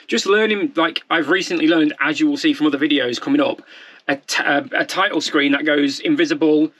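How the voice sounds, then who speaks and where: A man's voice: a little high, a little fast, a bit bright. A man in his forties, a vlogger talking off the cuff to camera in a candid, rambling, self-deprecating way. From United Kingdom. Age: 40s.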